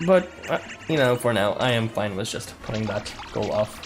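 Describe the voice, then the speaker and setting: A man's voice: lowish voice, animated, moderate pace. A man giving casual, chatty live commentary while he plays a game as a streamer, in an offhand manner with some mild swearing. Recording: music playing behind the voice. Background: background music.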